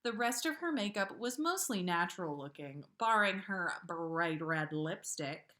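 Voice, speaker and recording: A woman reading a fan fiction story aloud on a livestream, in a measured way with pauses. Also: fairly low pitch, lively intonation, bright, forceful tone. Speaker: podcast host reading listener fan fiction.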